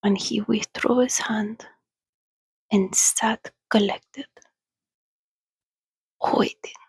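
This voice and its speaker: A woman speaking in a hushed, soothing, often whispered ASMR style, as if calming a companion. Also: mid-range voice, very slow, breathy edge, dry recording. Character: calm, sociable female vampire soothing a companion. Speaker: ASMR host. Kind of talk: soft-spoken ASMR reading and roleplay.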